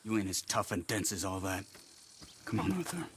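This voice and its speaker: Calm, gentle outlaw companion. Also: male voice, fairly low pitch, moderate pace, noisy background. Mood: concerned. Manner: gentle, reassuring. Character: calm, kindly, soothing male outlaw companion. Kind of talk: video game cutscene acting.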